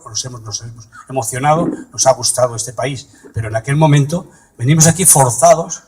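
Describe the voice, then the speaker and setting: Husky man in his seventies, emphatic. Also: on the high side, poor audio. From Madrid, Spain. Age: 70s. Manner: emphatic, builds to forceful repeated points; frequent restarts and stumbles. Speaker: speaker at a public meeting on pensions. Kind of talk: impassioned public speech to retirees.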